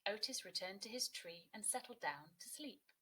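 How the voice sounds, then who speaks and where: A woman teacher narrating a children's story, expressively and clearly phrased for young listeners. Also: mid-range voice, lively intonation, normal speed, pushed and bright, poor audio.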